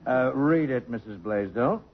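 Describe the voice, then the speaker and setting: Courteous, husky-voiced attorney. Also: male voice, medium pitch, animated, unhurried. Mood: formal, reassuring. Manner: hesitant, courteous, formal. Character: polite, formal male trust-company attorney. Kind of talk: 1950s radio comedy acting. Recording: muffled old radio broadcast.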